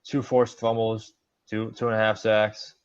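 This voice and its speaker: A man's voice: fairly low pitch, clean tone. Joking young guy, dull lo-fi sound. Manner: joking, animated, quick asides. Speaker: podcast co-host. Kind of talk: casual sports chat.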